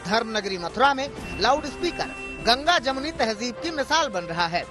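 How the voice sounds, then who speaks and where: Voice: mid-range voice, big pitch swings, moderate pace, over music. A news narrator reading a scripted, dramatic television report.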